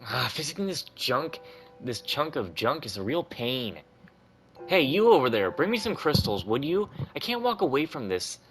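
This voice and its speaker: A man's voice: medium pitch, big pitch swings, moderate pace, fairly bright tone, soft background music, voice pushed up. A man voicing a brash, grumbling young woman mechanic in a video game, bossy and breezy. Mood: irritated, demanding.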